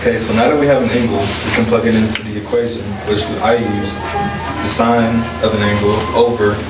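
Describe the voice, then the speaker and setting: A teenage male high school student explaining math steps in a halting, step-by-step classroom presentation. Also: flat delivery. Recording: echoey classroom, loud music, distant mic.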